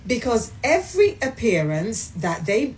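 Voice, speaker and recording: Slow, expressive woman giving opinions. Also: on the high side, slight background noise, low-quality recording. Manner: emphatic, rhetorical questions, deliberate delivery. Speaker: commentator in a played clip. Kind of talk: opinion monologue.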